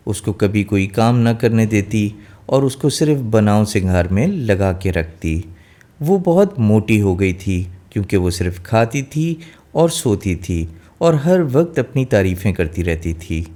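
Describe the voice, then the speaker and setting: A man's voice: lowish voice, big pitch swings, a little slow, warm tone. A man narrating a scripted fairy tale as a storyteller.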